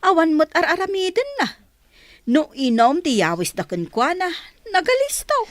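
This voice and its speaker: A woman's voice: very high-pitched, sing-song, normal speed, no echo. A woman playing a disapproving, scolding village mother in a comedy radio play. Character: disapproving village mother, grumbling about churchgoers. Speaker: actor in a radio drama.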